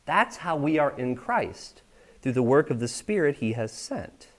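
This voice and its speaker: A man's voice: on the high side, big pitch swings, a little slow. A man preaching a sermon in a church, talking with the congregation in a conversational way and often checking that they are following. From United States. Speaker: pastor. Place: church sanctuary.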